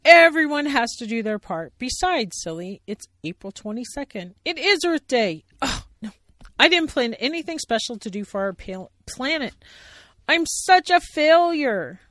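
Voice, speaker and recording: A woman voicing a fretful, earnest young female bear in a storybook play, with a high and excitable voice. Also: moderate pace, no room sound, studio quality. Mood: fretting.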